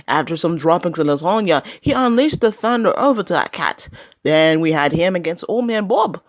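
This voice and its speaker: Woman playing lively field reporter, dry sound. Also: a little high, dry recording. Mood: peppy. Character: chirpy, giggly Australian female field interviewer. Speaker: on-scene interviewer. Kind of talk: playful scripted sports report.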